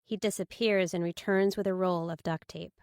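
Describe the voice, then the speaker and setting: A woman's voice: lowish voice, fairly even intonation, normal speed, a little mellow, clean tone, no echo, studio quality. A woman reading scripted first-person thriller narration as an audiobook narrator.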